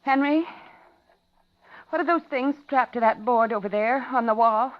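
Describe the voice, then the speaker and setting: Dull vintage radio sound. Actress plays frightened female captive, high-pitched, polite on the surface, breathless dramatic narrator of a thriller. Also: unhurried, natural voice. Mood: placating, careful. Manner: dramatic, breathless first-person suspense narration. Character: frightened captive woman, narrating her ordeal, outwardly polite. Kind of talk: 1950s radio thriller acting. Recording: dull 1950s radio drama, loud music.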